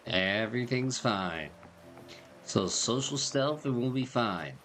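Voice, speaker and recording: A man's voice: mid-range voice, expressive, slow, fairly bright tone. A man giving casual, slangy, joking commentary as he plays through a video game. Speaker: gaming video creator. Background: game music.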